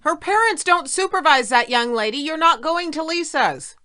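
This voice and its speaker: A woman's voice: sits high, expressive, normal speed, pushed and bright. An actress playing a harried, strict middle-aged mother who writes at home, in an audio play. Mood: stern, exasperated.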